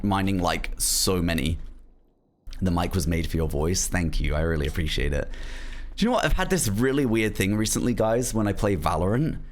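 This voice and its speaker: A young man streaming, chatting casually to viewers in a rambling way full of "like" and "um". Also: on the low side. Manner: rambling, lots of "um" and "like", laughs easily.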